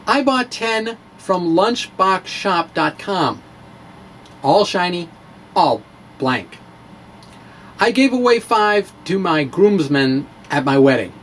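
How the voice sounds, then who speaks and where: A man giving a theatrical, emphatic dramatic reading of a science blog, with deliberate pauses. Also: sits high, big pitch swings, fairly bright tone, a little hiss or hum. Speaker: science blogger and presenter.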